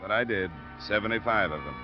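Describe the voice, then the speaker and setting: An actor playing a laconic, steady investigator in a 1950s radio detective drama, with a dry, matter-of-fact delivery. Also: male voice, lowish voice, a little fast, music in the background, lightly acted. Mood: dry, narrating. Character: laconic, steady male insurance investigator narrating his case. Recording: muffled old radio broadcast recording.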